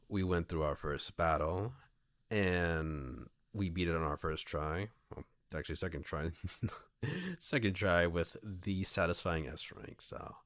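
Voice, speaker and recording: A man's voice: deep voice, dry recording. A man hosting a livestream, switching between reading a visual novel aloud and chatting to viewers. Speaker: streamer, host. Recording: faint music under the voice. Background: music.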